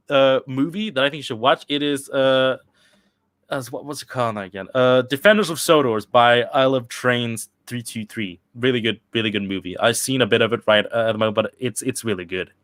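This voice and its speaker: A young man from Sweden talks loosely to his live chat, reading questions aloud. He stumbles, restarts and fills gaps with "uh" and "like". His voice sits at an ordinary pitch, recorded close on a desk mic with no room echo. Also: a bit bright. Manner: rambling, halting, with many restarts and fillers. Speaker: channel host answering live chat questions. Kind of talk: casual livestream chat.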